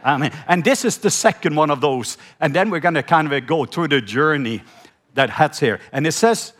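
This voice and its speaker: A middle-aged man from Norway with a Norwegian accent, preaching a sermon. He slows down to read scripture and has the congregation repeat key words back. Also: on the high side, expressive, fairly bright tone. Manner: slows down when reading scripture, prompts the audience to repeat words. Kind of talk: sermon with scripture reading and call-and-response.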